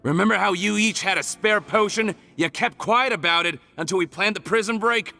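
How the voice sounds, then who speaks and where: A man's voice: high-pitched, normal speed, creaky, pushed and bright, soft background music, heavily acted. An actor playing a cold, calculating male criminal leader in a game cutscene, talking down to the others. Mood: scornful. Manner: condescending, calculating.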